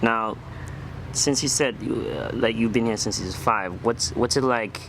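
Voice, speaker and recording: A man's voice: medium pitch, normal speed. A man interviewing people on the street, asking easygoing questions and filling the gaps with short affirmations. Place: outdoors on a city street. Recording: noisy street with traffic.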